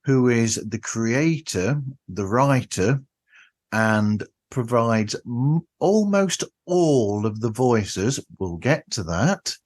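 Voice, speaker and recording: A man hosting a podcast, chatting casually and effusively with halting phrasing. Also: mid-range voice, lively intonation. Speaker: podcast host. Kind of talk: casual interview.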